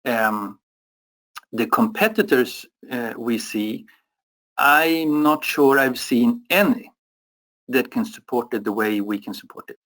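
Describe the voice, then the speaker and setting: European man, mid-pitched, halting webinar answers with lots of fillers. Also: a bit bright, poor audio. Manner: halting, many fillers and restarts. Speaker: product expert.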